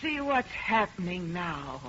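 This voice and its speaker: A woman's voice: on the low side, big pitch swings, pushed and bright, full character voice. An actress playing a haunted, grieving mother, melodramatic and insistent, in an old radio horror play. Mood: eerie, insistent. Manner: melodramatic, intense, deliberate. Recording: noisy, dull old radio recording.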